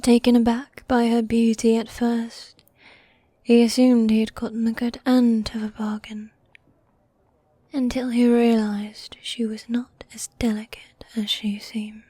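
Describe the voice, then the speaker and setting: Needy goth demon girlfriend, played by a woman, ASMR roleplay: dark velvety whisper, unhurried, teasing and affectionate. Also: a little high, flat delivery, no room sound. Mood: hushed storytelling. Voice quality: soft, breathy, often whispering. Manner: affectionate, coaxing, drawn-out genteel phrasing. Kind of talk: medieval fantasy ASMR roleplay.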